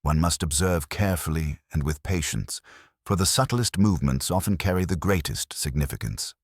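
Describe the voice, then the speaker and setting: A man voicing a calm, unassuming police inspector in a mystery audiobook, speaking politely, formally and deliberately. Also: very deep voice, dry recording, studio quality, full character voice. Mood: calm, courteous. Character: courteous, unassuming male police inspector, calmly observant.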